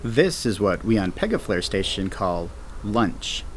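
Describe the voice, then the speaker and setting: A man's voice: fairly low pitch, big pitch swings, measured pace, slight background noise. An actor playing an easygoing, teasing male space station commander with a casual, wry manner in an audio drama. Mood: playful, fond.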